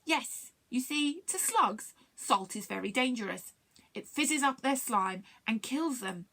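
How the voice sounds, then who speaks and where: A woman's voice: a little high, lively intonation, unhurried, vocal fry, a bit bright, pitched above the performer's own voice, exaggerated voice. A woman voicing a kindly, clever male creature in a children's story, earnest and polite as he explains things.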